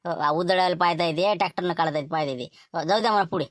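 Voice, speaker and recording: Woman doing worried village farmer for a cartoon, rural vidarbha marathi, folksy complaining, talks real fast, dry sound. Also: mid-range voice, a bit bright, dry recording, pitched below the performer's own voice, natural voice. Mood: resigned, grumbling. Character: worried Marathi village farmer men chatting about crops. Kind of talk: cartoon village comedy dialogue.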